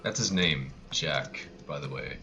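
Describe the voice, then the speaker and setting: A man's voice: rumbling low, expressive, measured pace. A man giving casual live speedrun commentary with offhand, joking asides.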